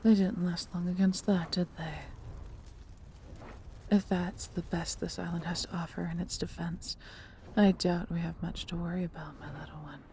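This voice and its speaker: Woman playing a low, proud, doting dragoness, noisy recording. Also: flat delivery, mellow, close to an everyday voice. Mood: smug, affectionate. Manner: theatrical, haughty yet tender, measured delivery. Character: proud, doting female dragon, adventurous and protective. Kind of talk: fantasy audio roleplay addressed to listener.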